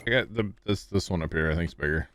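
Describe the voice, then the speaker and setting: Laid-back low voiced guy streaming a farm game, rambly jokey stories, faint hum behind. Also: fast talker, fairly bright tone. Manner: rambling, jokey storyteller, chuckles often. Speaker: livestream gamer. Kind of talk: casual livestream chat with viewers.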